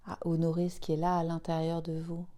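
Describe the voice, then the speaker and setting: A woman leading a guided yoga nidra relaxation, speaking gently and soothingly in short, spaced phrases. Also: deep voice, completely flat delivery, fairly quick. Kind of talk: slow guided relaxation meditation.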